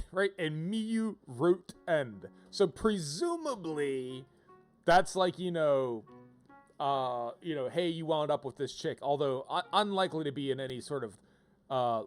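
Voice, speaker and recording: A man's voice: fairly high pitch, animated, a little slow, soft background music. A man giving casual, rambling game livestream commentary, with wry playful asides as he reads on-screen text aloud.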